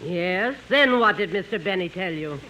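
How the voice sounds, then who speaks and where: A domineering older mother from a 1950s radio comedy. The voice is high and forceful, full of disapproval and swinging in pitch. The old recording hisses faintly. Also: animated, normal speed, low-quality recording. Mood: disapproving, suspicious.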